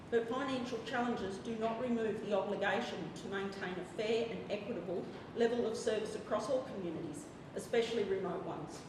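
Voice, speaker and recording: A woman reading a formal, earnest prepared statement to a council, nervous as she speaks. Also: medium pitch. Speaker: member of the public. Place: council chamber. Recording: echoey hall from far off, noisy.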